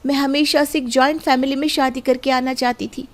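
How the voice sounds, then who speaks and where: Woman acting an earnest high-voiced young bride. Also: flat delivery, fairly quick, close to an everyday voice. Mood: alarmed. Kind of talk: cartoon family drama.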